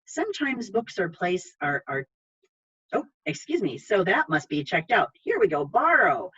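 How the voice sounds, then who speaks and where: A woman's voice: medium pitch, big pitch swings, a little slow, a bit bright, breathy edge. A woman hosting an online children's library program, chatty and polite as she reads and explains, heard over a video call. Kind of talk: reading aloud and guiding viewers through an online library program. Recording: dull, lo-fi video call audio.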